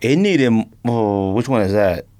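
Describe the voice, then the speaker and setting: A man's voice: fairly low pitch, animated, measured pace, warm tone. An American man co-hosting a casual podcast, bantering in slang and repeating himself for emphasis. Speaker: podcast co-host. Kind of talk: casual bantering chat about sneakers.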